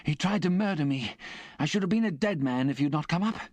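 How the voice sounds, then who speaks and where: A man's voice: on the high side, measured pace, gravelly, fairly bright tone, husky, no echo, voice pushed up, exaggerated voice. A man voicing a battered, terrified convict who pleads breathlessly for protection. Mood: frightened.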